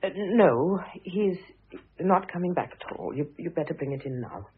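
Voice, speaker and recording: An actress with a British accent playing a weary, dignified, sharp-tongued middle-aged mother in a vintage radio drama. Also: fairly low pitch, lively intonation, moderate pace, close to an everyday voice. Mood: subdued. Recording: muffled, phone-like old radio recording.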